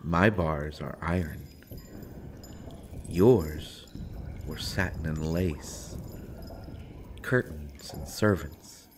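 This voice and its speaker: A very low-voiced, sardonic male villain acted by a man speaks slowly from his prison in an audio roleplay. He taunts theatrically, drawing out phrases with mocking pauses, over clearly audible background noise. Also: exaggerated voice. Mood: cold, menacing. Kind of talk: scripted audio roleplay acting.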